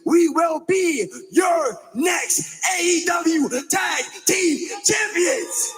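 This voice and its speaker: A man cutting a boastful wrestling promo, shouting to the crowd in an arena. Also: extremely high pitch, extremely slow, faint music behind. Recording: echoey arena hall.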